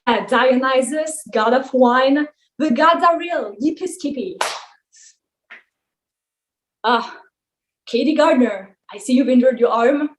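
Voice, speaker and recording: A woman's voice: high-pitched, takes it slowly, pauses often, rough recording. A woman playing a jaded, sarcastic male wine god who runs a summer camp, in a weary, theatrical table read. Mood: sardonic. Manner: sardonic, weary, theatrical complaining.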